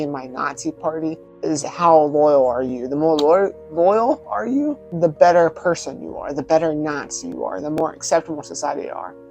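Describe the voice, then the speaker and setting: A man's voice: fairly high pitch, darkish tone, poor audio. Casual male vlogger over music. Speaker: video host reviewing films. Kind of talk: casual spoken vlog commentary.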